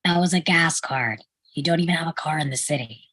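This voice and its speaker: A low, raspy young woman's voice in a very dry recording, acted by a woman at a table read. She plays a blunt, sarcastic sitcom sister, exasperated but caring. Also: brisk, fairly bright tone, dry recording. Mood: blunt, wry.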